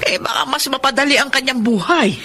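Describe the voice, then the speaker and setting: A woman's voice: sits high, brisk, sharp tone, slight background noise. An actress playing a concerned, reproachful mother counselling her grown daughter, solemn and admonishing in a Tagalog radio drama.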